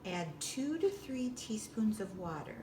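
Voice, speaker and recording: A woman with a medium-pitched voice explains practical steps in simple, deliberate phrases. She sounds set back from the mic in a very roomy, echoing space. The delivery is that of a demonstration. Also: sing-song. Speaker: librarian giving a baking demonstration. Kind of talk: step-by-step instructional narration. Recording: distant roomy echo with background noise.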